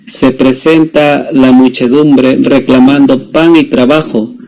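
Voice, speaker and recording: A man's voice: medium pitch, steady tone, slow pace, darkish tone, poor audio. A young man, a student presenter, reading a scripted story summary as narrator. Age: young adult.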